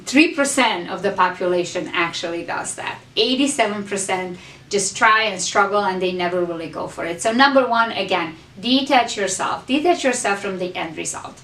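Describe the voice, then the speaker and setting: A woman gives an earnest, coaching motivational talk and keeps repeating her key phrases to drive them home. Her voice sits at a middle pitch. She is some distance from the mic, and the room around her rings with echo. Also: moderate pace, a bit bright. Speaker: host.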